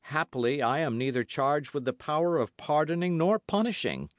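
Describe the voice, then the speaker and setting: A man's voice: a little high, sing-song, no echo, clean studio sound, pitched above the performer's own voice. A man playing a stern, devout young officer. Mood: grave. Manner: serious and measured.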